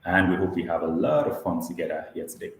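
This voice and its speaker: A man's voice: deep voice, expressive, fast. A man who directs education giving a polite, formal welcome over a video call. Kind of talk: formal greeting. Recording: echoey room with faint noise.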